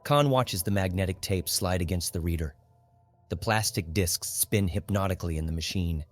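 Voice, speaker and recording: Deep-voiced male audiobook narrator. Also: normal speed. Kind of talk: scripted literary narration. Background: faint music.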